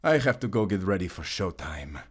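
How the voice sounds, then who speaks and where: A man's voice: sits low, sing-song, normal speed, husky, full character voice. A man playing a cruel, vengeful exiled prince in an audio drama, taunting and sneering theatrically, with music behind him. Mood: contemptuous. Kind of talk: dramatic audio roleplay acting.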